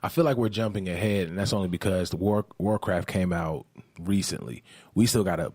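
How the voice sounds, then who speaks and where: A man's voice: sits low, brisk, a little mellow, breathy edge, dry recording. A man in casual, joking podcast banter, slangy and sweary. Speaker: podcast panelist.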